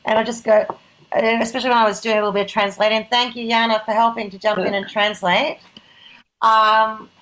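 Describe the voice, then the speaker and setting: A woman's voice: a little high, little pitch movement, brisk, fairly bright tone, low-quality recording. A middle-aged woman moderating an event on a video call, talking casually and self-deprecatingly in an informal, rambling way.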